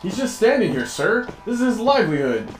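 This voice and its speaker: A man's voice: high-pitched, sing-song, fairly quick, music in the background. A man playing a curious, nervy young drifter in a sci-fi audio drama, talking casually. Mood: alarmed.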